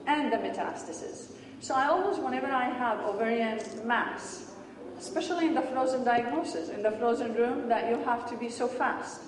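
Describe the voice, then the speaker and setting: A woman from Syria, giving an earnest medical lecture in short explanatory phrases and answering questions in a large hall. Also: a little high. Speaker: pathology lecturer. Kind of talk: conference lecture and Q&A. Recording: echoey hall, distant mic, background noise.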